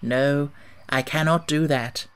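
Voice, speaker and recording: A man's voice: fairly high pitch, fairly even intonation, slow, fairly bright tone, smooth. A man voicing a kindly little old witch woman in an audiobook, solemn and reassuring in manner. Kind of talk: audiobook character voice.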